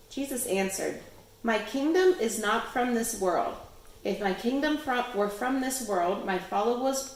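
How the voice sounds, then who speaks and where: A woman reading a Bible passage aloud as a lay reader. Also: mid-range voice. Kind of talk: scripture reading. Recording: very echoey room.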